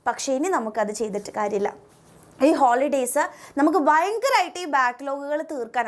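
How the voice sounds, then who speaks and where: A woman with a Malayali accent teaching school pupils online, giving directive, coaxing instructions with frequent check-in tags. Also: high-pitched.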